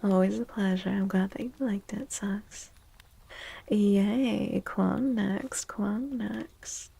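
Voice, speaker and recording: A woman streaming tarot readings in a soft ASMR style, gentle and breathy, often near a whisper with unhurried pauses. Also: mid-range voice, fairly even intonation.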